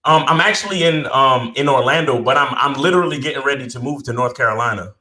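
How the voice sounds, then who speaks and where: A middle-aged man from Brooklyn with a bright, forceful voice, heard in a roomy space. He talks in a relaxed, humble way, with plenty of fillers and restarts. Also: mid-range voice, fast. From born in Jamaica, raised in Brooklyn, New York. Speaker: podcast guest, dating coach. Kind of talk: casual interview chat.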